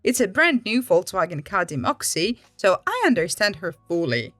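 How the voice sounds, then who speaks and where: A woman from Finland hosting a story channel, reading aloud in a dramatic, expressive way. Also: mid-range voice, moderate pace, no room sound. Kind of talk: animated read-aloud storytelling. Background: background music.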